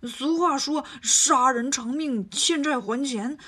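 A woman's voice: sits high, bright, forceful tone, slightly rough, exaggerated voice. A woman voicing a sly, lazy male pig-monk who stirs up trouble with a whiny drawl. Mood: stirring. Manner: whiny, stirring up trouble, drawn-out.

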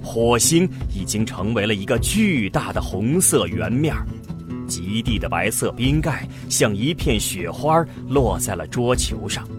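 A man's voice: on the low side, very animated, unhurried. A man reading dramatic scripted narration for a science-fiction radio drama. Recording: loud music bed behind voice. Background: music.

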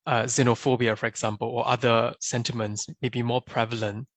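Young man, gravelly timbre, dry close sound; measured, reflective guest. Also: medium pitch, little pitch movement, no echo. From Singapore. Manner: thoughtful, measured, often adds a questioning "right?". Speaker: podcast guest. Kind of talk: casual interview answers.

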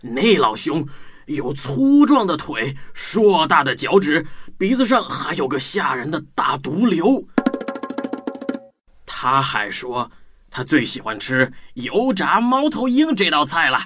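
A man's voice: a little high, sing-song, takes it slowly, over music. A man playing a small, cunning, stammering mouse in a children's audio drama, with exaggerated delivery. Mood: boastful, menacing. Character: small clever timid-seeming mouse, cunning bluffer.

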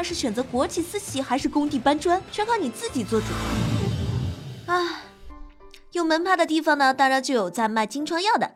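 A woman host reading scripted gaming narration in a playful, dramatic storytelling manner. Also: high-pitched. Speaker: game show host and streamer. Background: background music.

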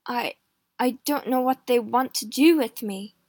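A woman's voice: a little high, measured pace, a little mellow, husky, no echo, studio quality. A woman reading a storybook aloud and voicing a frightened, whining young husband who pleads tearfully. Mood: frightened pleading. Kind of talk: audiobook reading.

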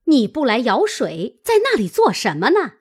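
A woman's voice: very animated, unhurried, heavily acted. A woman voicing a coarse, bitter servant mother in a storytelling reading, scolding harshly. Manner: cursing, shrill scolding. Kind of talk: dramatised classic novel storytelling.

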